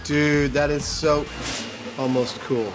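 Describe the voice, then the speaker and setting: A man's voice: on the high side, little pitch movement, slow pace, loud music behind the voice. A man hosting a science show, explaining his experiments on camera in an enthusiastic, jokey way. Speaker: host and experimenter. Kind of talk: casual on-camera demonstration.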